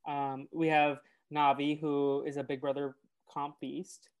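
A woman with a rather deep, nasal voice talks as a podcast co-host. She recaps and chats in a casual, loose way, often acting out what people said as she goes. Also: level tone, slow, darkish tone, clear, resonant tone. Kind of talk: casual episode recap and chat.

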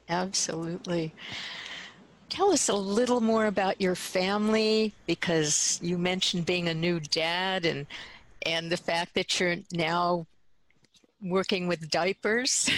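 An interview host with an unhurried, even delivery, asking friendly follow-up questions. The pace stays slow and easy. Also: medium pitch, low-quality recording.

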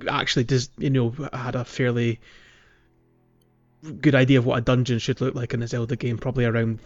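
A man's voice: medium pitch, little pitch movement, fairly quick, darkish tone. A man hosting a podcast, casually discussing a video game in a hesitant, rambling way as he thinks aloud. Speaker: podcast host. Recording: slightly dull audio with music underneath. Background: background music.

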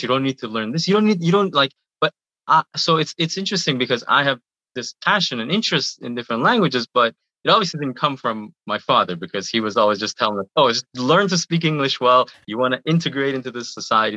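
A man's voice: mid-range voice, sharp tone, no room sound, lo-fi sound. A man in his thirties, born in Iran, chatting in an interview and often repeating words as he talks. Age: 30s. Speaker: guest, YouTuber. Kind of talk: casual interview answers.

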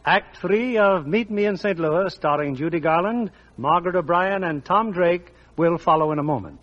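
A man's voice: sits high, moderate pace, soft background music. A man announcing from a script in the style of 1940s radio. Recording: dull old radio recording.

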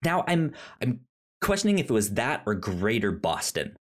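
A man's voice: medium pitch, sing-song, normal speed. A man co-hosting a podcast in casual chat, often stammering and repeating the first sounds of his words. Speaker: podcast co-host.

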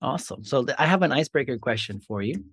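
A man's voice: medium pitch, expressive, fast talker, a bit bright, husky, no echo. A man hosting an interview podcast in a conversational style. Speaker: podcast host.

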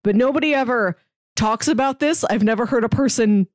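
A woman's voice: fairly high pitch, brisk, no room sound, studio quality. A woman co-hosting a film podcast, chatting casually with wry, playful observations. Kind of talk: casual movie discussion.

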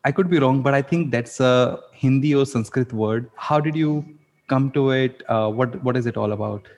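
A man's voice: mid-range voice, normal speed, darkish tone, long reverb tail. A man hosting a podcast, asking questions in a conversational interviewing style. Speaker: podcast host.

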